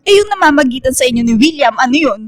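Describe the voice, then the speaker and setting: Radio drama part: naive, lovestruck twenty-something woman, high and dramatic, close dry sound with music. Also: expressive, racing, no echo. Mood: hurt, demanding. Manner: dramatic, pleading.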